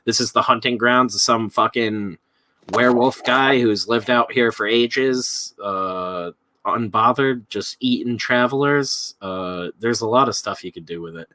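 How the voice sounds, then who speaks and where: A man's voice: medium pitch, unhurried, bright, forceful tone, no echo. A man chatting casually about films as a live stream co-host, rambling and swearing freely. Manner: rambling, profane.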